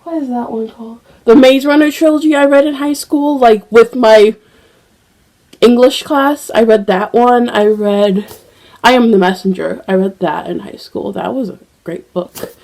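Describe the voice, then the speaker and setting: A woman's voice: fairly high pitch, moderate pace, darkish tone, rough recording. A young woman chatting casually about books as a vlogger, rambling with lots of fillers and restarts, a little congested from a cold. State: slightly congested with a cold, occasional cough. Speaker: book vlogger. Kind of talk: casual chat.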